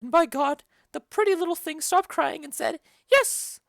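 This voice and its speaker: A woman voicing a chattering, bawdy old female nurse in a dramatic reading of a play, rambling and loud. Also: very high voice, moderate pace, vocal fry, dry recording, studio quality. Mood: gossipy. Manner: loud, rambling, giggly storytelling.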